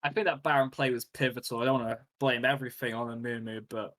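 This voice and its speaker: Casual male esports caster, mid-pitched. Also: fairly even intonation, fast talker, a bit bright. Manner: casual, filler-heavy, wry. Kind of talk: live game commentary.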